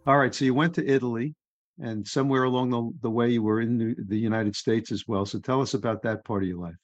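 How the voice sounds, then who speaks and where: An easygoing man hosts a podcast and chats in an encouraging way. His voice sits at a middle pitch. A faint guitar plays softly behind him. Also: fairly even intonation, quick pace, no room sound, muffled recording. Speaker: podcast host. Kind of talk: casual interview chat.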